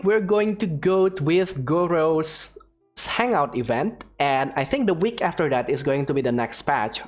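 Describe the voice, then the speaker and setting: Low-voiced, lively woman gaming host. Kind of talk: casual gameplay commentary.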